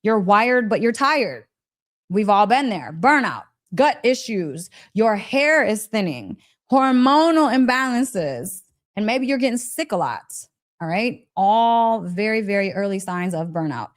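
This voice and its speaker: A woman's voice: medium pitch, big pitch swings, measured pace. A woman giving a casual, motivational wellness talk as a live coach, conversational and emphatic, often checking in with her listeners. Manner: conversational, emphatic, frequent check-in tags, breaks into laughter.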